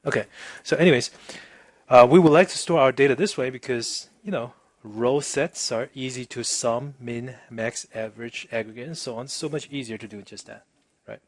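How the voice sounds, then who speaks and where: A man teaching a casual software demonstration, informal and chatty, often checking in with the listener, with a husky voice. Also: mid-range voice, normal speed, dry recording. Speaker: instructor.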